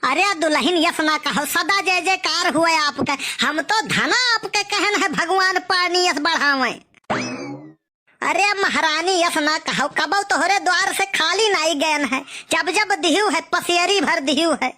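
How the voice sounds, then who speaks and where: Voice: very high-pitched, unhurried, sharp tone, full character voice. A performer playing a wily, wheedling old male beggar in a village cartoon comedy, boastful, with an Awadhi accent. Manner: sing-song blessings, wheedling, boastful.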